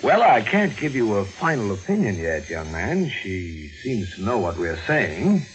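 Deliberate, professional physician in a vintage radio drama. Grave, measured speech in a low register. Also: male voice, big pitch swings, poor audio. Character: grave, deliberate family doctor. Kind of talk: 1940s radio mystery acting. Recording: vintage radio recording with music.

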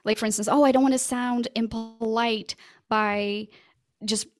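A woman's voice: a little high, steady tone, a little slow, faint music behind. A woman whose first language is Portuguese, a podcast producer talking eagerly in long run-on stretches as an interview guest. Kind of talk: casual interview chat.

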